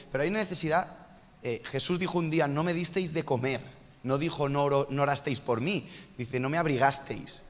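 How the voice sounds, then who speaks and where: A man's voice: medium pitch, a bit bright. A man hosting a casual interview on a church stage, chatty and joking, poking fun at himself. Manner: jokey, chatty, self-mocking asides. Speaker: church host interviewing a missionary. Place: large church hall. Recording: long church hall reverb.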